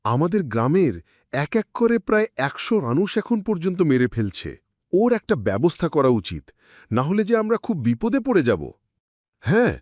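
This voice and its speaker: A man playing an excitable village youth among friends in a cartoon, with exclamatory, bantering delivery. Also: fairly high pitch, no echo, clean studio sound.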